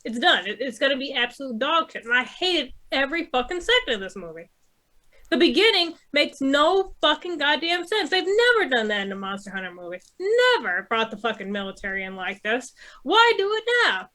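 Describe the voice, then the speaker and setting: A woman's voice: high-pitched, big pitch swings, a little fast, pushed and bright. A woman in a casual opinionated panel chat, blunt and exasperated, swearing heavily. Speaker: podcast co-host.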